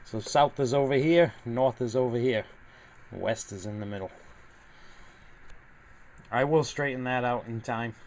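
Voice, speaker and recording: A man's voice: mid-range voice, a little slow, pauses often, darkish tone. A man giving casual, upbeat, folksy hands-on narration as a hobbyist vlogger. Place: outdoors and in a home radio room. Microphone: handheld camera mic. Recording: noisy handheld camera recording.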